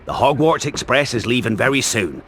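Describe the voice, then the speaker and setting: An actor voicing a big, kindly, gruff giant of a groundskeeper in a video game. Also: male voice, medium pitch, expressive, moderate pace, fairly bright tone, a little hiss or hum. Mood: gently hurried.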